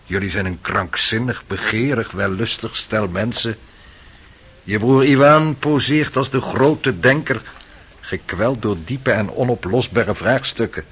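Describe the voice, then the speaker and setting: A man's voice: lowish voice, measured pace, fairly bright tone, slightly rough. An actor playing a cynical, worldly young man in a radio drama, sly and needling in manner. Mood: mocking, insinuating. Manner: sardonic, insinuating.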